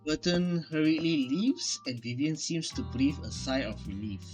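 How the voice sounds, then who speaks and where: A man's voice: fairly high pitch, animated, normal speed, darkish tone. A man hosting a gaming channel, reading the game's narration aloud in a plain way. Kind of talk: reading game text aloud. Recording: music from the game behind.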